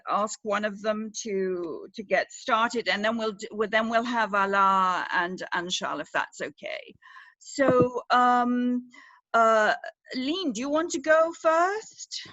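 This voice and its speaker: A woman moderating a virtual panel over a video call, halting with many uh fillers. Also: fairly high pitch, animated, bright, forceful tone, dry recording. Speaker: session moderator. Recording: dull lo-fi audio.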